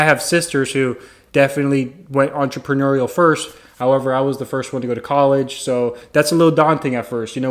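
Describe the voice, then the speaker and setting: A young man hosts a casual podcast chat in an ordinary mid-range voice. He is friendly and enthusiastic, and he often stumbles and restarts his sentences as he talks. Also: mid-range voice. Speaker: podcast host.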